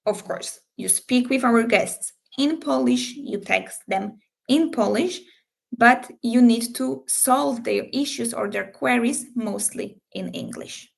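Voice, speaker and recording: A woman hosts a live recruiting Q&A and talks through the job at a slow, deliberate pace. Her voice carries a gravelly, creaky edge. She fills pauses with frequent ums and uhs, and her phrasing is slightly non-native. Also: fairly high pitch. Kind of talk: live Q&A, explaining job details.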